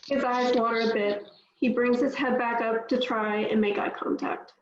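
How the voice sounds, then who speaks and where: In a very roomy recording with faint background noise, a woman reads screenplay action lines. Her voice is fairly high, delivering scripted narration of stage directions. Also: fairly even intonation, normal speed, lo-fi sound.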